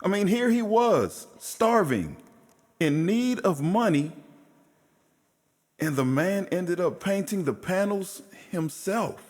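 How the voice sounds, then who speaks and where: A man acting as an admiring storyteller in a stage play, fondly recounting a painter's life in deliberate, pause-filled phrases. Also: sits high, animated, a little mellow. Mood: wry, fond. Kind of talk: theatrical stage monologue. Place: indoor stage performance space. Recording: echoey stage hall, music behind. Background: plucked guitar music.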